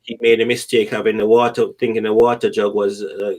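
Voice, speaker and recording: A man's voice: lowish voice, level tone, fairly quick, darkish tone. A middle-aged man with a Jamaican accent, chatting casually as a podcast guest and telling anecdotes, often repeating words for emphasis.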